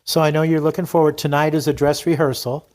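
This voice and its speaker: A man speaks as a podcast host in a casual interview. His pitch is average for a male voice, and his manner is encouraging and appreciative. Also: little pitch movement, fast talker, a little mellow.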